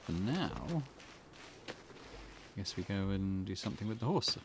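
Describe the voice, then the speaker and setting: A man gives casual let's-play commentary in a lowish voice with lively pitch movement. He thinks aloud as he goes, with clear noise in the recording. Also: unhurried. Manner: muttering reactions, thinking aloud. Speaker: gaming video host.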